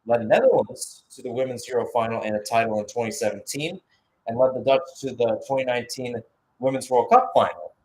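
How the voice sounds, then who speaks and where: A man's voice: medium pitch, a little fast, darkish tone, a little hiss or hum, poor audio. A man giving live radio-style football commentary, switching between short clipped calls and conversational, analytical asides.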